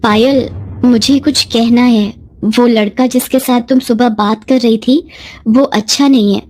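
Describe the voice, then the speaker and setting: A woman voicing a gentle, dutiful young village cousin in a moral story, earnest and respectful. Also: fairly high pitch, steady tone, unhurried. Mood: hesitant, worried. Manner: soft-hearted, earnest, respectful.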